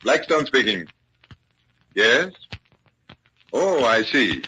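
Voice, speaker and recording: An actor playing a confident magician detective who takes charge, in 1940s radio drama. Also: male voice, mid-range voice, sing-song, extremely slow, pushed and bright, exaggerated voice. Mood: calm, commanding. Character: confident male magician detective, brisk leader. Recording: noisy vintage 1940s radio recording.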